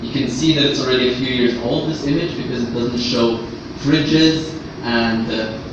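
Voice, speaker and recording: A man raised in Austria giving a workshop presentation in a halting way, often repeating words as he goes. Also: mid-range voice, steady tone. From Austria, born in Egypt. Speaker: conference speaker, web standards specialist. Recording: echoey, noisy room, distant muffled microphone.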